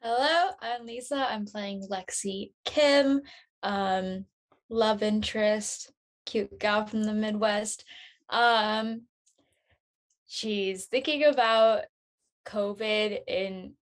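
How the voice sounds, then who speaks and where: Female actor in casual introduction. Leisurely delivery, medium pitch, informal and a little tentative. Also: extremely slow. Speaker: actor in a play reading.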